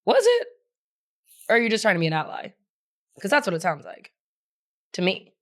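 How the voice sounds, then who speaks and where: A woman's voice: mid-range voice, wildly swooping pitch, normal speed, fairly bright tone. Woman, mid-range voice, podcast co-host, casual banter, playful, teasing, dramatic emphasis. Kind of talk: casual chat, comic banter.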